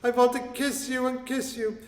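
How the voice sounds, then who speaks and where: A man's voice: extremely high pitch, flat delivery, moderate pace. A man playing a besotted, flustered prince and henpecked husband in a comic radio play. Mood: flustered, fawning. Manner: fawning, flustered. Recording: echoey hall, faint music behind.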